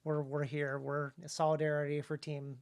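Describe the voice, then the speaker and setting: A man co-hosting a casual livestream discussion as an author, in a halting, discursive way that often restarts phrases. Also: fairly high pitch, completely flat delivery. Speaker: author and co-host of a writing talk show.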